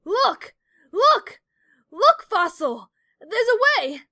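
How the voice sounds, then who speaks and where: A woman's voice: very high voice, sing-song, very slow, sharp tone, full character voice. A woman playing a brave, stubborn young pony adventurer in an audio drama, urgent and full of emotion. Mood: excited, urgent. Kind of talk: audio drama character acting.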